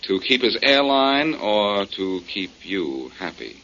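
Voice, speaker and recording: An actor playing a wry, needling, confident amateur detective in a 1940s radio drama, with a sardonic, probing way of talking. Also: male voice, lowish voice, very animated, slow, pushed and bright, exaggerated voice. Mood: hard, challenging. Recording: dull 1940s radio recording with hiss.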